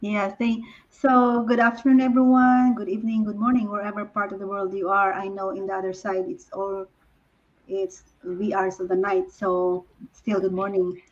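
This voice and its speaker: A woman's voice: mid-range voice, flat delivery. Woman guest, muffled audio. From Philippines. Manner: halting, many restarts and fillers. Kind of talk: casual interview answers. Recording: dull lo-fi audio.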